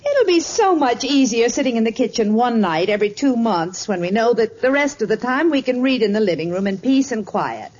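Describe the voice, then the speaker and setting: A gentle, fretful middle-aged housewife in a vintage radio comedy. She fusses and chats in a high, swooping voice. The recording is muffled and noisy. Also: very animated, fairly quick, few pauses. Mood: chatty. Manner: gentle, fussing, chatty. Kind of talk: old-time radio sitcom acting.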